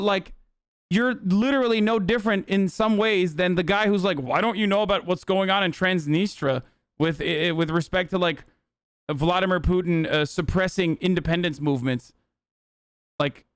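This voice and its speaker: A man's voice: sits high, a bit bright, smooth, no room sound, clean studio sound. A man streaming political commentary, reacting to clips bluntly and profanely with many fillers.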